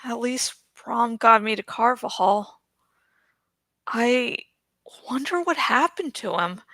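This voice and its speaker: A woman's voice: fairly high pitch, expressive, takes it slowly, bright, forceful tone, no room sound, studio quality, exaggerated voice. A woman voicing an anxious, weakened young man in a storybook reading, hesitant and stammering. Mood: worried.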